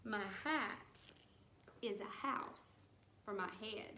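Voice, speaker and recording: A woman teacher reading a rhyming picture book aloud to young children, with deliberate, singsong storybook phrasing. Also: medium pitch, sharp tone. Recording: roomy echo with some background noise.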